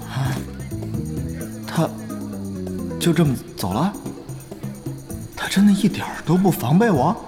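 A reluctant young guild leader, easily flustered and indignant. His medium-pitched voice jumps about expressively as he splutters. Music plays behind this audio drama. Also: animated, measured pace, long pauses. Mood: bewildered, brooding. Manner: spluttering, exasperated.

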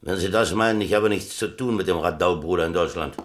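A man's voice: sits low, brisk. A man playing a defiant, streetwise German prisoner in a radio play, with a dry, blunt way of talking. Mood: guarded. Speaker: actor in a radio drama.

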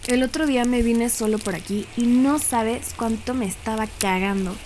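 A woman's voice: on the high side, normal speed, darkish tone, a little hiss or hum, close to an everyday voice. A woman playing a scared, teasing teenage boy with a Mexican accent in an audio drama, talking in crude, casual slang. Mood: uneasy.

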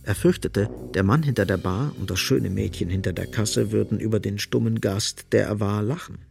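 A man's voice: fairly low pitch, moderate pace, vocal fry, music in the background. A man narrating a scripted literary radio drama as storyteller.

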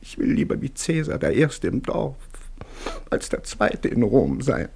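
An actor playing a gluttonous, self-pitying country priest, lamenting in grand, declamatory radio play style. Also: male voice, medium pitch, sing-song, measured pace, creaky, dark tone, breathy edge, full character voice. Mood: pompous farewell. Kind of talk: classic radio play acting.

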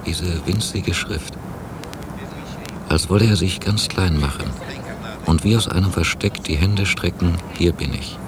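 A low male voice plays a doubtful, brooding man in a radio play. He reflects in a measured way. The old cassette copy carries clear hiss and noise. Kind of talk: radio drama inner monologue and dialogue.

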